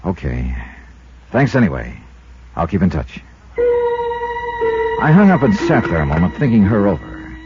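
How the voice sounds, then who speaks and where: An actor playing a dry, world-weary insurance investigator in 1950s radio detective style, clipped and deadpan, with an American accent. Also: male voice, lowish voice, a little slow, long pauses. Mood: polite, probing. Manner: clipped, hard-boiled, deadpan. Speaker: lead actor and narrator of a detective series. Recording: muffled 1950s radio recording, music behind.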